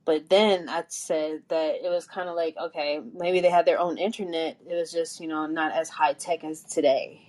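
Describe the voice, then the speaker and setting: A woman's voice: low-pitched, steady tone, moderate pace, few pauses, darkish tone. A woman co-hosting a podcast in casual, informal conversation. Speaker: podcast co-host. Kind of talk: casual chat.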